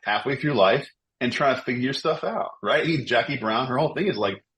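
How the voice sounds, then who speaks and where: Guest, man, 50s: quick, eager movie talk, tripping over repeated words. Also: mid-range voice, slight background noise, poor audio. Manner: energetic, enthusiastic, repeats words when excited. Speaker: podcast guest. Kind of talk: casual film podcast chat.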